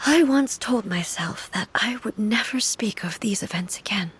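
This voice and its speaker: An actress playing a solemn, regal goddess mourning her sister in a video game, speaking in a soft, hushed, measured way. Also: mid-range voice, expressive, slight background noise, natural voice. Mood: sorrowful.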